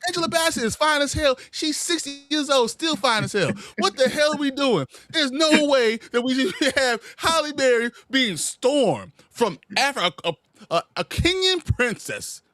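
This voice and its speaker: A man with a high, bright voice talks fast and gets heated as he rants in a casual chat. His voice sits close and dry, with no room around it. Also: no room sound. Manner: animated, heated ranting with laughs.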